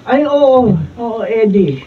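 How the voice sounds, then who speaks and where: Lively, protective Filipina mother. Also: a little high, takes it slowly. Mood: agreeable. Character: protective middle-aged mother, wary of daughter's boyfriend. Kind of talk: Filipino radio drama acting. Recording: distant, dull, noisy room recording.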